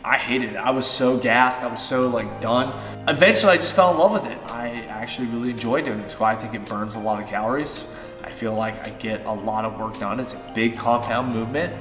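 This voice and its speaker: A man's voice: medium pitch, a little fast. Man, 30s, casual rambling Q and A, long reverb, faint music bed. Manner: chatty, loose and rambling, with many fillers. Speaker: fitness vlogger. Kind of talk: casual Q and A vlog. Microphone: on-camera shotgun mic.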